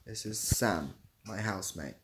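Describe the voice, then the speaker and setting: Casting: young male streamer with a lowish voice, dry and self-mocking, rambling casually as he answers viewers. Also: unhurried, background noise. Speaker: livestream host. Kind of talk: casual livestream chat, reading out viewer comments.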